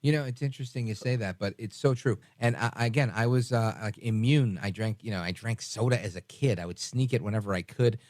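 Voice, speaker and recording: A lowish male voice in his forties, close and dry on a studio mic. He hosts late-night radio in a loose, chatty way, often trailing off and restarting. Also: fairly quick, hardly any pauses, darkish tone, dry recording. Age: 40s. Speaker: radio talk show host.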